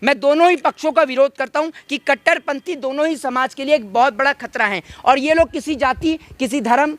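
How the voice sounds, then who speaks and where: A man with a high voice holds forth as an interviewee in a heated political debate. He is insistent and argumentative, often repeating a phrase to drive it home, and the sound is dry. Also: a little fast, a bit bright, no room sound. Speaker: interviewee arguing political views.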